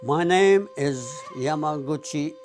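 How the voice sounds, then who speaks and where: A man in his nineties from the United States, recalling the past in a slow, measured documentary interview. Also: a little high, over music. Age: 90s.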